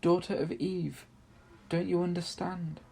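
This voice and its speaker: A man voicing a gentle storybook fawn who is guilt-ridden and tearful, wailing and blaming himself. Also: sits high, level tone, measured pace, vocal fry, slightly rough, voice pushed up, full character voice. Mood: guilty, distressed. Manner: tearful, wailing, self-reproaching.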